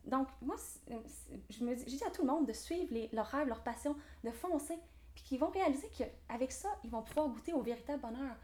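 Fast-talking young Québécoise woman. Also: sits high, expressive, darkish tone. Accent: Québécois French. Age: 20s. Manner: enthusiastic, motivational, fast talking. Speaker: guest, real estate developer. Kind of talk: podcast interview.

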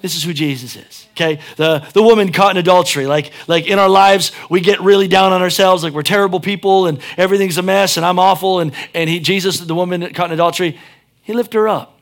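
Joking, emphatic pastor with a drawl. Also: male voice, sits high, fast talker. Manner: conversational and joking, rising to emphatic peaks. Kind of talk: sermon.

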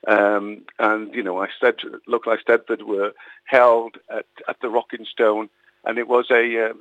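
A man's voice: on the low side, creaky, dry recording. A man from Wales, an author guest on a radio interview, talking hesitantly with lots of ums and restarts. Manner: hesitant, many fillers and restarts. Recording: tinny phone line.

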